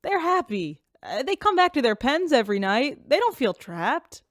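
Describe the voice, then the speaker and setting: A gentle, folksy animal keeper. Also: female voice, high-pitched, sing-song, normal speed, dry recording, studio quality. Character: kind, easygoing woman animal keeper. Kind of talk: audio drama acting.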